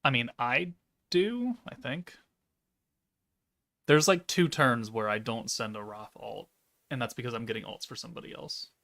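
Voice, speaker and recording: A man in his thirties streaming a game from home, chatting casually and reading chat aloud in a monotone, deadpan way. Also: mid-range voice, moderate pace, vocal fry, long pauses. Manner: monotone, laid-back, deadpan. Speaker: gaming streamer.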